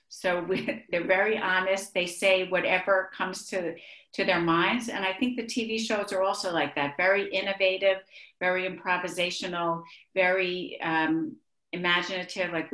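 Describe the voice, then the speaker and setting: A middle-aged woman with an Israeli accent speaks on a video call from a room at home, her voice a little low and echoing around her. She talks hesitantly, restarting her sentences. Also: a bit bright. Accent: Israeli-accented English. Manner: hesitant, frequent restarts, laughs easily. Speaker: author guest. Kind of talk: online author interview. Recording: echoey room.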